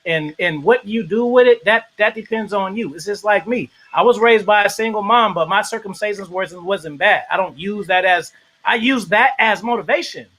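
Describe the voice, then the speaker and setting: A man hosting a casual livestream interview, animated and shouting out viewers in the chat. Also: high-pitched, moderate pace, low-quality recording.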